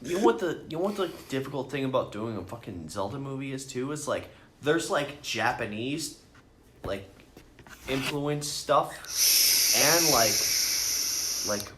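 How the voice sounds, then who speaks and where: A man's voice: mid-range voice, lively intonation, unhurried, long pauses, fairly bright tone. A man co-hosting a podcast, chatting casually about films and games in a rambling, profane way peppered with "like.". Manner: rambling, profane, heavy use of "like". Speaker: podcast co-host. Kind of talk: casual film and video game chat. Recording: roomy echo, clear background noise.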